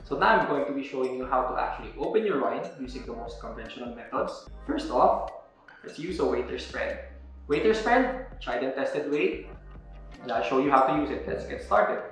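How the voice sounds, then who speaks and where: A man's voice: mid-range voice, lively intonation, moderate pace, a bit bright, soft background music. A young man explaining step by step how to open a wine bottle, in an instructional demo recorded indoors. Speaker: wine presenter. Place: indoor room with a table. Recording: very echoey room.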